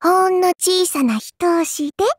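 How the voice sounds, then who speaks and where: Very high-pitched, sweetly condescending little girl. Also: unhurried, dry recording, clean studio sound. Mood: polite, playful. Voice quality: childlike, cutesy. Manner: polite, prim speech with teasing condescension. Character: dainty little girl, politely sweet with condescending, cruel streak. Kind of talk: Japanese mobile game character voice lines.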